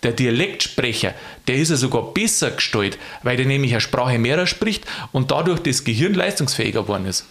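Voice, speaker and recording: Chatty man, animated. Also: brisk, hardly any pauses. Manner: chatty, animated, swings pitch up for emphasis. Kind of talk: casual chat.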